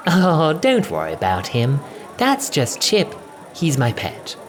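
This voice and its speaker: A man's voice: a little high, wildly swooping pitch, slow pace, soft background music, pitched above the performer's own voice, full character voice. Man putting on a posh, sickly-sweet, witchy villainess. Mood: breezy disdain. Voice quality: excessively sweet, witchy tones. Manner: posh, aristocratic, condescending. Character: posh, condescending, sweetly witchy female villain of stretched skin.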